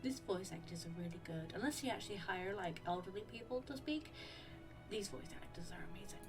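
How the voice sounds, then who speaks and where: A woman's voice: mid-range voice, expressive, fairly quick. Woman gamer commenting, slightly distant mic. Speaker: gaming streamer. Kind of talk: casual game playthrough commentary, reading along. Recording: distant mic, game music behind. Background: game music.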